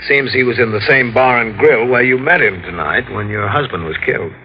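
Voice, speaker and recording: An actor playing a calm, patient police detective in a 1940s radio drama, measured and reasonable, on a muffled old broadcast. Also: male voice, mid-range voice, natural voice.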